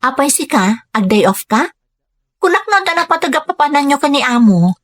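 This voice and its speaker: A woman's voice: sits high, sing-song, moderate pace. A Filipino woman playing a hardworking, self-sacrificing daughter who works abroad as a maid in an Ilocano radio drama. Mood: earnest.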